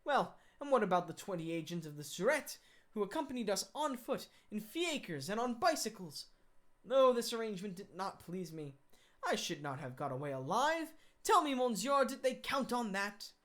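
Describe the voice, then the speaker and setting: Woman doing a suave male gentleman thief, cocky, mocking, emphatic, lively swoops in pitch, storybook reading. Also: normal speed, lightly acted. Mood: confident. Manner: emphatic, mocking and self-assured. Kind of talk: audiobook character voice.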